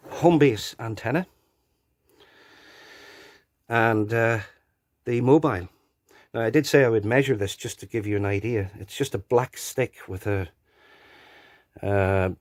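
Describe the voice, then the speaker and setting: A man giving a casual, unscripted hands-on demonstration into a phone, explaining and repeating key points. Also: mid-range voice. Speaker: video presenter showing a radio collection. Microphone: handheld phone.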